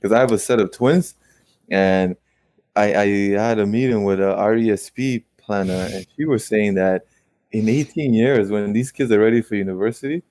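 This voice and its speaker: Late-20s male guest, low voice, dry close mic, animated storyteller. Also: no echo. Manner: animated storytelling, quick rueful asides. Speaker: guest real estate investor. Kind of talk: casual conversational interview.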